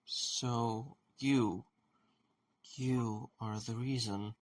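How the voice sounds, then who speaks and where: A man playing a wary, blunt questioner in an audio drama, with a gravelly, husky voice and a probing, sardonic manner. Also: mid-range voice, steady tone, very slow. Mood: shocked, incredulous.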